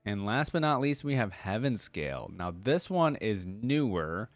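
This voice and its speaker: A man's voice: lowish voice, sing-song, measured pace, no room sound, soft background music. A man giving casual reaction commentary as a video host, thinking aloud in informal, slangy language.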